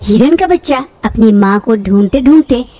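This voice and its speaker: A woman's voice: a little high, animated, normal speed, warm tone. A woman storyteller reading a scripted animal fable for children.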